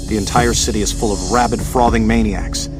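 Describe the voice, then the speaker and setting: A low-pitched man's voice an actor's performance plays a steady, worldly mutant fighter in a game cutscene. He briefs in a serious way, with loud music behind him. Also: brisk, lightly acted. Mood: urgent briefing. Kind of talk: video game cutscene acting.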